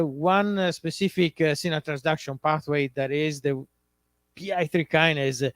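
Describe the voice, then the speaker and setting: Slow-paced Italian-accented male professor. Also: a little high, clear, resonant tone, no room sound. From Italy. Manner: slow, halting delivery with many filler "uh"s. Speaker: visiting professor giving a lecture. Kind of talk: academic lecture.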